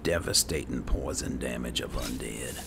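Man, gamer, heavy vocal fry, muttered casual commentary, loud background noise. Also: rumbling low, moderate pace. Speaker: gamer playing and roleplaying a fantasy game. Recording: clear background noise, game ambience.

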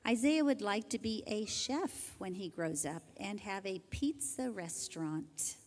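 An older woman teacher reading graduation introductions into a handheld microphone, in a gentle, measured way. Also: medium pitch, lively intonation. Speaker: kindergarten teacher. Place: large church sanctuary. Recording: hall reverb from a church PA.

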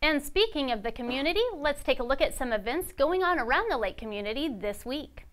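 A young woman reads local news and event listings from a studio desk. Her voice sits high. She keeps a crisp, steady newsreader pace. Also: brisk. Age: young adult. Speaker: news anchor.

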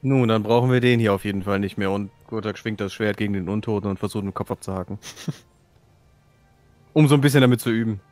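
A man's voice: fairly low pitch, fast, a little mellow, no room sound, faint music behind. A man chatting casually as a player in a tabletop role-playing game.

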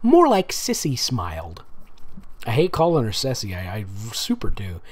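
Male reader-commentator, mid-pitched and very animated, sardonic and conversational. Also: moderate pace. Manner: amused, sarcastic, breaks off to comment. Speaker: host reading fan fiction aloud with commentary. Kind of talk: dramatic reading with casual mocking asides.

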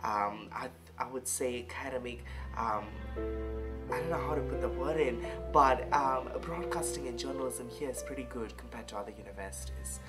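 A young man in his twenties from Malaysia with a Malaysian accent, speaking haltingly in a documentary interview, often searching for words. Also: mid-range voice. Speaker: student interviewee. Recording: music bed under voice. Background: music.

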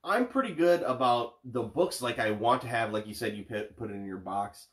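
A young man in his twenties sounds nasal and stuffy from a cold as he rambles excitedly on a couch. His voice sits at a middle pitch. A far-off camera mic catches him with a roomy living-room echo. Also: moderate pace. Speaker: podcast co-host. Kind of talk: casual chat.

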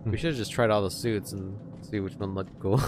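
A man in casual let's-play chat, guiding another player through a video game. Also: normal speed. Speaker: gaming commentator guiding a player. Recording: game music under the voices. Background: video game music and rumble.

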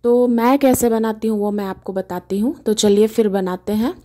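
A woman's voice: a little high, fairly even intonation, moderate pace, warm tone. A woman hosting her own cooking channel, chatting through a recipe step by step in her home kitchen. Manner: chatty, homely step-by-step explaining.

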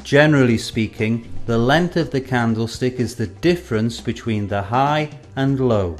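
A man reads scripted educational narration in a measured, explanatory way, drawing out the ends of his phrases. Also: mid-range voice, darkish tone. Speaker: presenter of an educational film. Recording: music under the voice.

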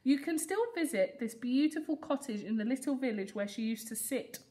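Young woman reading aloud, higher-pitched voice. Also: animated, brisk, mellow. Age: young adult. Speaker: early years teacher reading to children. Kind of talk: read-aloud storytelling for young children. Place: school classroom reading corner, indoors.

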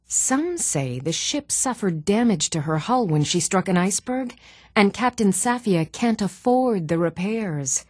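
A woman's voice: mid-range voice, animated, unhurried, a little mellow, no echo, clean studio sound. An actress playing a sturdy, earnest townswoman and warrior in a fantasy video game, with formal, old-fashioned phrasing. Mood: gossipy.

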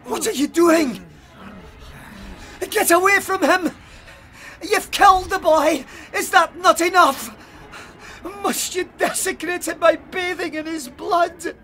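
An actor as a high-voiced, indignant police sergeant. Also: male voice, slow pace, pushed and bright, exaggerated voice. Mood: horrified. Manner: indignant, formal, emphatic. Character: outraged, pious, stubborn male police sergeant. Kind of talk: full-cast audio drama acting.

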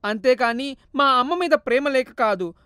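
A grief-stricken young son calls out through tears, as a woman puts him on. The voice sits high and pleading, recorded dry and close with no room sound, as in a storybook cartoon. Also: fairly quick, a bit bright, dry recording. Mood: weeping. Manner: tearful, pleading, crying out. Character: young man, grieving, remorseful son.

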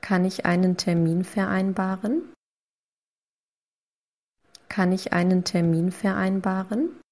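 A woman reading short phrases slowly and clearly, saying each one twice for learners. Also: medium pitch, steady tone, a little mellow. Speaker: language lesson voice. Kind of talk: slow repeated phrase reading.

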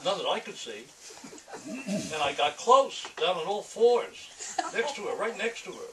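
A man's voice: sits high, very animated, unhurried, voice pushed up. Rattled, lecherous noir man, read by an old man. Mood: agitated, defensive. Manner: ruminating, repetitive, defensive. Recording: distant camera mic, clearly noisy room.

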